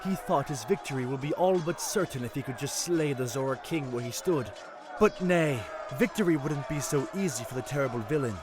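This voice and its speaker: A man voicing a tall, excitable young fish-folk prince who tells tales in a grand, theatrical way, in a fan audio drama. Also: mid-range voice, noisy background, lightly acted. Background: music.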